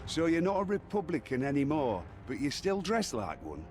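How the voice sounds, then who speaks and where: A man's voice: a little high, huge pitch swings, normal speed, darkish tone. An actor voicing a doubtful, anxious man in a fantasy video game, with a questioning way of speaking. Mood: wary. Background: game music.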